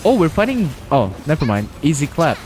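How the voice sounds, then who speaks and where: A male streamer giving casual live-stream commentary, slangy and joking, reacting loudly as he plays. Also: fairly high pitch, sing-song, a little fast, slight background noise.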